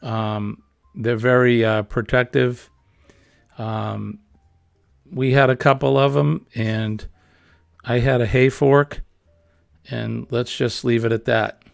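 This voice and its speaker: A man's voice: mid-range voice, steady tone, takes it slowly, creaky, pauses often, dry recording, studio quality, soft background music. A man hosting a casual online chat show, reading viewer comments aloud and chatting loosely. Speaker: host.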